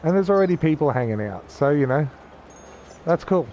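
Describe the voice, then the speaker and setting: Croaky man. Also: a little high, lively intonation, normal speed, mellow, dull audio, slight background noise. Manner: chatty, rambling, self-correcting. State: croaky from a cold. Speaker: gaming YouTuber. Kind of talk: casual gameplay commentary.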